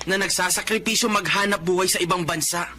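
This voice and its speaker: A man's voice: sits high, fairly even intonation, quick pace, bright, forceful tone, a little hiss or hum. A man acting an earnest, hardworking adult son in a Filipino drama, speaking emphatically. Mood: bitter, reproachful. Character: resentful, hardworking adult son, aspiring police officer.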